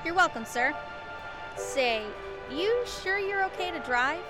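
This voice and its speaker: A woman's voice: extremely high pitch, animated, a little slow. A woman playing a caring, polite diner waitress in an old-time style radio drama. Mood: concerned, kindly. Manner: polite, solicitous. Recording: music playing over the voice.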